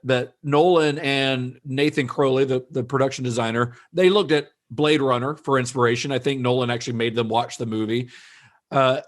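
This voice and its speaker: A man co-hosting a film podcast in relaxed, chatty conversation, often restarting his sentences. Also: medium pitch. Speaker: podcast co-host. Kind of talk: casual film discussion.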